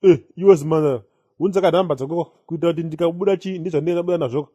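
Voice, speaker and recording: A man acts out a self-important Zimbabwean police investigator in a comedy cartoon. He talks extremely fast in a mellow, close and dry voice, bossing people about and tacking tags onto his sentences. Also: fairly high pitch, no room sound, lightly acted. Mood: officious. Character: pompous male police investigator boasting about bomb disposal.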